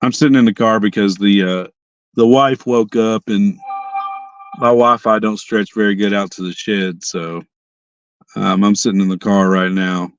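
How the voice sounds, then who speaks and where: A hoarse young man hosting a casual livestream chat from his parked car, rambling and often restarting his sentences. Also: fairly low pitch, steady tone, normal speed, dry recording, lo-fi sound. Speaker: livestream host.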